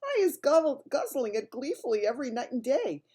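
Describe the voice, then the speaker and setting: A kind, timid old giant pleads and coaxes in a high, eager voice full of mangled grammar, as a woman puts him on while reading a storybook. The voice swoops up and down and stays soft and breathy. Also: very animated, fairly quick, exaggerated voice. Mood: coaxing.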